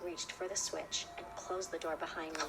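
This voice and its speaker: Low female voice reading a creepy story, scripted narration, music loud underneath. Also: little pitch movement, normal speed. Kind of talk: scripted first-person horror narration.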